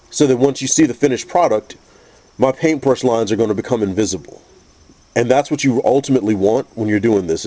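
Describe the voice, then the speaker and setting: A man with a stuffy, congested voice from a cold, calmly explaining a painting technique step by step with frequent restarts. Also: mid-range voice. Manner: calm, methodical, with frequent restarts. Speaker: custom figure painter teaching. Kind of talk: painting tutorial narration.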